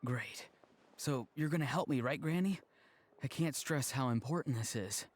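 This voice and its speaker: Young male game character male actor: mid-pitched, earnest, persuasive, slightly awkward talker. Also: moderate pace, close to an everyday voice. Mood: earnest pleading. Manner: earnest, pleading, wordy. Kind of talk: video game character acting.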